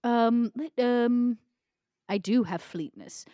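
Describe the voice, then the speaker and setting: A woman's voice: fairly high pitch, slow pace, dark tone, no room sound, clean studio sound. A woman talking casually as a player in a tabletop roleplaying game, describing her moves and working out rolls.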